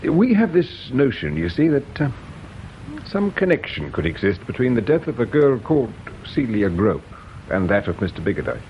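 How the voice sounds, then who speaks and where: An actor with an English accent playing a polite, patient, shrewd police inspector in a British radio drama, measured and courteous. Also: male voice, mid-range voice, big pitch swings. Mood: tactfully probing. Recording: dull radio drama recording with hiss.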